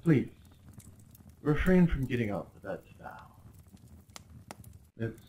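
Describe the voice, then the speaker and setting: A man's voice: medium pitch, very animated, slow, mellow, low-quality recording. A man playing a smug, possessive crown prince in a roleplay audio drama, speaking with formal, condescending politeness and theatrical flair. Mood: condescending, courtly. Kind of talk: roleplay ASMR acting.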